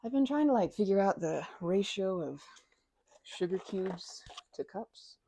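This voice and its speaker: A woman playing a goofy, caring, scatterbrained best friend in a casual ASMR roleplay, with soft, rambling, halting speech. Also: medium pitch, animated, breathy edge, no room sound. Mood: musing, playful. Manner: rambling, halting, self-interrupting, giggly.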